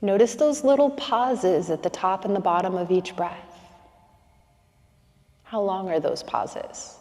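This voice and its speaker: A woman guiding a slow breathing meditation in calm, soothing instructions with long pauses. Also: mid-range voice, in a large hall.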